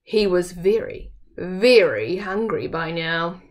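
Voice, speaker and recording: A woman's voice: on the low side, slow, darkish tone, slight background noise. A woman in her thirties reading a children's story aloud expressively, as a storyteller at home. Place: small room at home.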